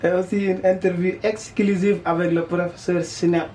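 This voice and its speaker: A male radio host introduces guests and questions in loose, halting sentences. His tone is warm and mellow, with faint background noise behind him. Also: sits high, little pitch movement. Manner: informal, halting phrasing. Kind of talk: hosting a radio talk show.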